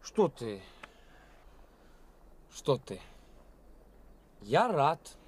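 An actor playing a nervous, self-mocking young stage actor in a radio play, theatrical and wry. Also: male voice, medium pitch, big pitch swings, drawn-out words. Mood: uneasy. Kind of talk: 1980s Soviet radio play acting.

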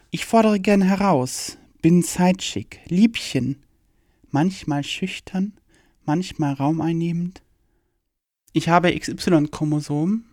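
A man speaks a scripted monologue for a radio play in calm, measured, declarative sentences. His voice sits a little high for a man. The recording is dry and close, with no room sound. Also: no echo.

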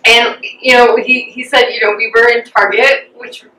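Young American woman, interview guest, bright and sharp voice, loose and reflective with lots of ums, heard in a roomy space from a distance. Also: a little high, little pitch movement, normal speed. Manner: chatty and reflective, with frequent fillers. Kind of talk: casual personal storytelling. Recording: roomy echo, distant from the mic.

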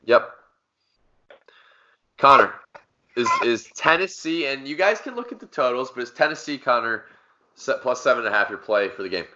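A man's voice: medium pitch, animated, normal speed, pauses often, pushed and bright. A man hosting a podcast, talking sports casually with friends. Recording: dull, band-limited podcast audio.

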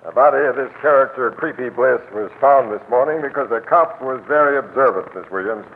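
An actor playing a gruff, dry police captain in a crime radio drama, with matter-of-fact delivery. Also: male voice, medium pitch, fairly quick, close to an everyday voice. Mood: dry, businesslike. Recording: tinny, hissy old radio broadcast.

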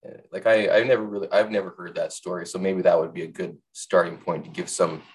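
A man's voice: low voice, flat delivery, fast talker. A man with a Canadian accent hosting an interview as an informal, slightly rambling casual chat. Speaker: interview host.

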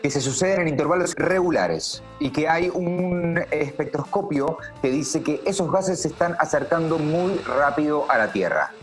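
A man's voice: a little high, expressive, moderate pace, few pauses, faint music behind, natural voice. A man playing an earnest, alarmed news reporter with a Buenos Aires accent, hesitantly relaying urgent bulletins in a radio drama. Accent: Buenos Aires Argentine. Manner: hesitant, repeating, apologetic.

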